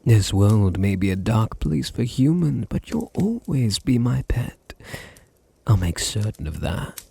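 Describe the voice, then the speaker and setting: A low, gravelly British vampire lord, acted out by a man, murmurs with a soft, mellow darkness. His husky delivery swings expressively between condescending tenderness and seductive command, often sinking toward a whisper in intimate ASMR roleplay style. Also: big pitch swings, dry recording, full character voice. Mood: tender, possessive. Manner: slow, soothing, teasing, condescendingly tender. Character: dominant, possessive, seductive male vampire noble doting on his servant.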